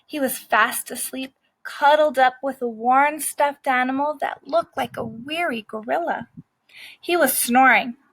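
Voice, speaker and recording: A woman's voice: sits high, a little slow, fairly bright tone, dry recording, exaggerated voice, poor audio. A woman voicing a scrappy, streetwise male dog who narrates his own story in a brash, cocky way.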